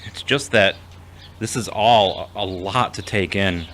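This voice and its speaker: A man playing a gentle, reserved, long-suffering character in an audio drama, with a measured, formal manner. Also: lowish voice. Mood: hesitant, thoughtful. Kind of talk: scripted audio drama acting.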